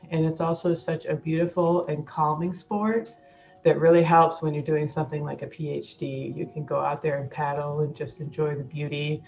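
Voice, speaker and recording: Low-voiced woman, earnest and measured. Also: darkish tone, highs cut off. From Ohio, USA. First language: Arabic. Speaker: PhD candidate. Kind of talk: profile video narration.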